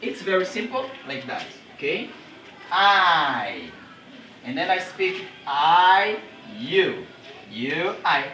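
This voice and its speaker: A man's voice: high-pitched, sing-song, extremely slow, pushed and bright, soft background music. A man whose first language is Portuguese teaches a beginner lesson in English with a Portuguese accent. He drills simple phrases patiently and repeats them often. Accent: Portuguese-speaking. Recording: distant roomy amateur recording with background noise.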